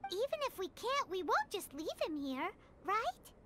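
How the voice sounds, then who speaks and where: A woman voicing a tiny, childlike fairy companion from a video game, squeaky, cheery and fond of food. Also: very animated, moderate pace, heavy background noise. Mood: chirpy, encouraging. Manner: refers to self in third person, cheery.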